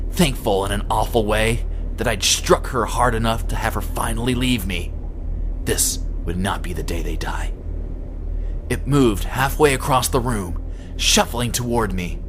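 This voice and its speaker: Low-voiced man narrating horror, noisy background. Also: fairly bright tone. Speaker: story narrator. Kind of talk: scripted dramatic horror narration.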